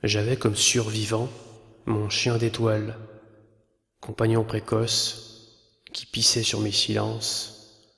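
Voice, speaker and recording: A man recites poetry as a narrator, solemn and measured, pausing between phrases. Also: on the low side, monotone, sharp tone. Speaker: poet narrator. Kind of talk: poetry recitation over a film. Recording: long reverb, clear background noise.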